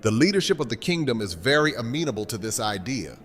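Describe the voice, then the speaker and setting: A man's voice: medium pitch, sing-song, quick pace, lightly acted. Man acting stately leader in echoing hall. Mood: agreeable, formal. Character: gracious, stately male kingdom leader. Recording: echoey hall, faint music behind.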